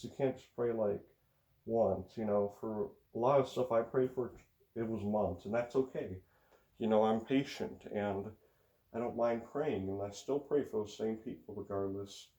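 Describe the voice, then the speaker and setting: A man's voice: lowish voice, dark tone, poor audio. A teenage American man with an Upper Midwest accent, talking in a rambling, hesitant way full of fillers and sighs. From United States. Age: teens. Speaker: racing vlogger. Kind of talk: rambling casual monologue.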